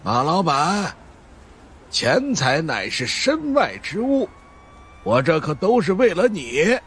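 Smug, drawling old monk. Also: male voice, a little high, big pitch swings, takes it slowly, a bit bright, breathy edge, voice pushed up, full character voice. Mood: feigned reluctance. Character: smug old monk, self-styled master exorcist. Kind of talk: audiobook character acting.

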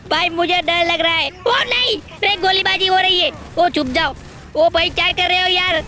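A man's voice: a little slow, sharp tone, full character voice. A man voicing a timid, stammering young male sidekick who panics easily during gameplay commentary. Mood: panicked. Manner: nervous, fearful, high-pitched.

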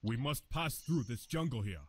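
A man's voice: medium pitch, expressive, normal speed, husky, no room sound. An actor playing a grave, terse castaway man in a visual novel, speaking in clipped, broken English phrases.

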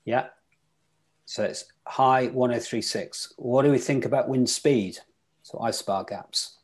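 A man's voice: medium pitch, measured pace, breathy edge. A man teaching sailing weather in an online club lecture over a video call, quizzing his listeners as he goes. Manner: interactive, quizzes the audience.

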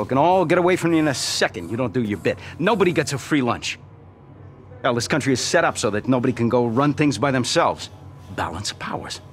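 Man playing a gruff, cynical American with swinging pitch. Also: lightly acted. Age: middle-aged. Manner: blunt, profane, ranting. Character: cynical, gruff patriot man, bitter and passionate. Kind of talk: video game cutscene acting.

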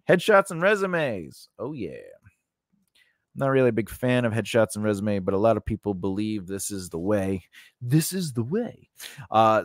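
A man's voice: fairly low pitch, dry recording. Middle-aged man, dry close studio sound. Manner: rambling, conversational, blunt asides. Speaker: host teaching scriptwriting and casting. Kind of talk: informal lesson with personal anecdotes. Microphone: large studio microphone on boom arm, close.

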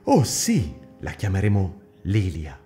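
A scornful jealous husband, lively swinging pitch, echoey hall, radio play. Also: male voice, takes it slowly, faint music behind, full character voice. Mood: tender. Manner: scornful, accusing. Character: jealous cruel young husband. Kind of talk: radio drama acting.

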